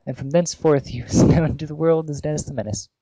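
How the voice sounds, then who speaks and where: A man's voice: on the high side, fairly even intonation, fast talker, warm tone, dry recording, rough recording. A man co-hosting a podcast, casually reading comic fan fiction aloud with amusement.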